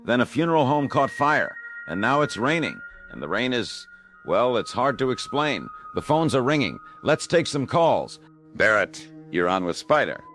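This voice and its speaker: A man playing a weary but steady late-night radio host in an audio drama, plain and low-key. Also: moderate pace, faint music behind. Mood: weary, uneasy.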